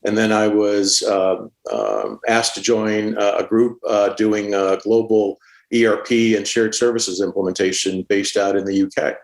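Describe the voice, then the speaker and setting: Middle-aged executive guest, low voice, measured with many fillers, roomy echo. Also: male voice, creaky. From born in Cuba, grew up in Staten Island, New York. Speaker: podcast guest, supply chain executive. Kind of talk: conversational career story. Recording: echoey room.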